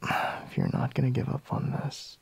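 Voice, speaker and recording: A man playing a sleepy, reluctant, teasing boyfriend in an intimate ASMR roleplay, murmuring drowsily in a breathy half-whisper. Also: natural voice. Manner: slow, drowsy murmur with sighs and pauses.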